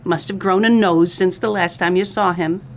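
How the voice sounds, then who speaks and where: A woman's voice: on the low side, steady tone, normal speed, slight background noise, close to an everyday voice. A woman playing a brassy, sassy, breezily menacing dame in a comic noir radio play. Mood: smug, teasing.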